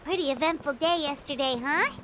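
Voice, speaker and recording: A woman voicing a small, excitable floating companion who talks about herself in the third person. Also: high voice, fairly quick, over loud music, close to an everyday voice. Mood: curious. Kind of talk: game cutscene voice acting.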